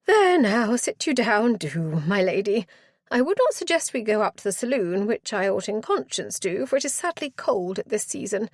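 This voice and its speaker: A woman's voice: medium pitch, very animated, moderate pace, no room sound, clean studio sound. A woman reading a novel aloud, voicing a flustered, bustling widow who gushes with old-fashioned politeness. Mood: flustered gushing. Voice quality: fluttery, gushing. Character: flustered fussing older village widow.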